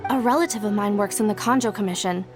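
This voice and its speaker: A woman's voice: medium pitch, fast, music in the background, lightly acted. An actress playing a matter-of-fact townswoman sharing mild gossip in a video game. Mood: unsure.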